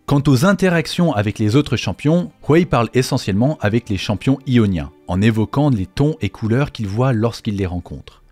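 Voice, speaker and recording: A man's voice: mid-range voice, animated, moderate pace, few pauses, darkish tone. A man narrating a scripted explainer as the host of a video on game lore. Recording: faint music behind the voice. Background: faint background music.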